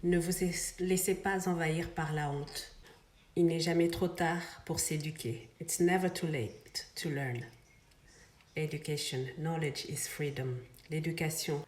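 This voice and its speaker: A woman's voice: low voice, fairly even intonation, unhurried, darkish tone, echoey room. A woman whose first language is Swahili, hosting an earnest inspirational vlog and switching between English and French. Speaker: vlog host. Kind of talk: inspirational bilingual monologue.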